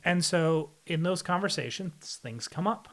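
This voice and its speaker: A man's voice: on the high side, animated, measured pace, a bit bright. A man speaking as a podcast guest in a candid interview, explaining things in a plainspoken, reflective way. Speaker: podcast guest, air traffic controller.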